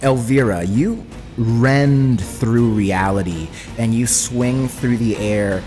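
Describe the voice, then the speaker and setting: A man narrating a tabletop game as game master, in halting, suspenseful descriptions. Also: medium pitch, a little mellow. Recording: long hall reverb, background music. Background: music.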